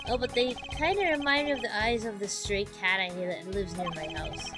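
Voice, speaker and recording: A woman's voice: medium pitch, fairly quick, over loud music, lightly acted. A woman voicing a dreamy, easily distracted, good-natured detective in a video game, rambling earnestly.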